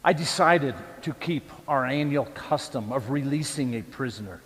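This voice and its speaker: A man playing a troubled, self-justifying Roman governor in a church dramatic monologue, speaking emphatically and deliberately. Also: medium pitch, a bit bright, close to an everyday voice. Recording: echoey church hall with long reverb.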